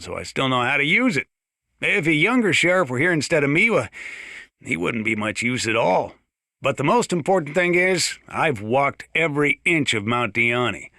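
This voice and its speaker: An aging American sheriff of a small mountain town for a video game. The voice is bright and firm at a middling pitch, recorded dry with no room around it. He rambles in a folksy, wry way, like a weathered veteran of the backwoods. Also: no echo. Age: older. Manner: folksy, rambling, dryly humorous. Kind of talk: in-character radio monologue for a video game.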